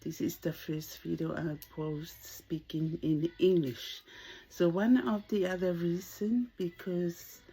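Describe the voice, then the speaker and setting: A woman's voice: lowish voice, dark tone, low-quality recording. A Samoan woman in her sixties, speaking English as a second language, talking to camera in a hesitant way as she searches for words. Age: 60s. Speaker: vlogger. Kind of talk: casual talk to camera.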